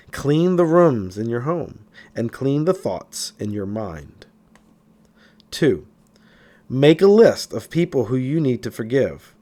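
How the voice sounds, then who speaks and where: A man's voice: mid-range voice, darkish tone. A man reading a spiritual self-help book aloud in an emphatic manner, occasionally stumbling over a word. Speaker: audiobook reader.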